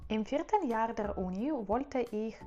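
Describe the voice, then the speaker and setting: A woman's voice: fairly high pitch, animated, unhurried, dark tone, dull audio, soft background music. A young woman whose native languages are Russian and Ukrainian, speaking with an accent in a casual vlog, careful and a little hesitant with fillers. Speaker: vlogger.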